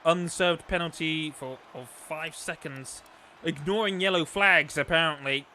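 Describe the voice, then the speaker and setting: A man's voice: on the high side, unhurried, sharp tone, slight background noise. Chatty man commentating a sim race, losing his voice. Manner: chatty, rambling with fillers. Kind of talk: live sim racing commentary. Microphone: desktop microphone.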